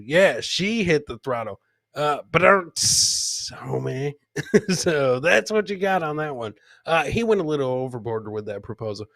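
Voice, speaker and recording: A man with a high, very lively voice hosts a casual comedy show. He takes his time as he comments on clips, joking in a folksy way and often repeating a line for effect. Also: dry recording, clean studio sound. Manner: laughs often while talking. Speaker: comedy podcast host. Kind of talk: casual live commentary on funny video clips.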